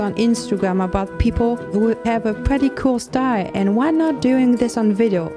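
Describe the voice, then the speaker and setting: Upbeat woman vlogger over music. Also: medium pitch. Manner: brief encouraging interjections, curious questions. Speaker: host and street interviewer. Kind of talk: vlog narration and interview questions.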